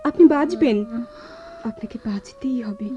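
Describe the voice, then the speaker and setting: A polished caring rich lady, urgent and emphatic, big pitch swings, dull old radio play sound. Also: wildly swooping pitch, moderate pace, noisy background. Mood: urgent pleading. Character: refined city lady, earnest, insistent, compassionate wife. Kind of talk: melodramatic radio drama acting. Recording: dull lo-fi radio drama recording.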